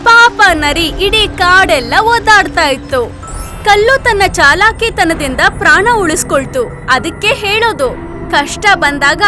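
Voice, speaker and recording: A woman's voice: very high-pitched, animated, slow. A woman narrating a scripted children's story as a storyteller. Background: music.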